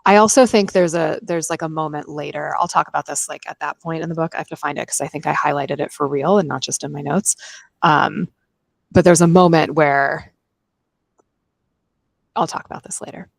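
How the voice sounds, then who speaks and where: Female book podcast co-host, lower-pitched, conversational delivery, dry recording. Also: fairly even intonation, moderate pace, creaky, dry recording. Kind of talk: casual podcast chat.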